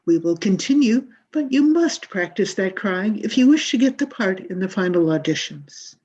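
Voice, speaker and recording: A woman's voice: medium pitch, lively intonation, moderate pace, darkish tone, breathy edge. A woman playing a grandiose, exacting drama coach in a 1940s-style radio comedy, with theatrical, emphatic delivery. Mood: exacting, dramatic. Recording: dull, muffled video-call audio.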